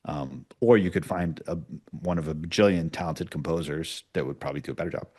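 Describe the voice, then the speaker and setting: A man's voice: rumbling low, fast talker, darkish tone. A man speaking informally and a little self-deprecatingly as a guest writer-producer in a casual interview. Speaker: guest writer-producer of podcast audio dramas.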